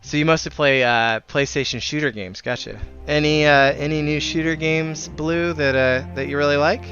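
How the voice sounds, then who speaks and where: A man with a very bright, forward voice talks casually to viewers on a game livestream. He is friendly and chatty, speaking at a middling pitch. The sound is a little dull, with music playing behind him. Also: fairly even intonation, normal speed. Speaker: live streamer.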